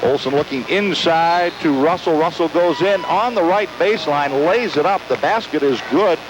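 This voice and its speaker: A man doing live radio play-by-play commentary of a high school basketball game. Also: normal speed. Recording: noisy amateur recording of radio broadcast.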